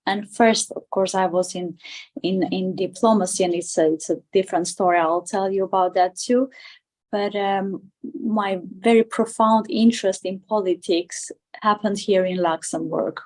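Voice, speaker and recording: A woman, answering conversationally as an interview guest, haltingly, often repeating and rephrasing her words. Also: medium pitch, steady tone, a little mellow, poor audio. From Armenia. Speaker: interview guest, political candidate.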